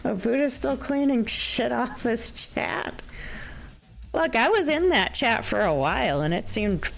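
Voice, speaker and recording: A hoarse woman streaming a game, chatting casually with her viewers into a desk microphone. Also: on the high side, expressive, a little slow. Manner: chatty, talks to viewers, frequent ums and laughs. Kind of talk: casual livestream commentary.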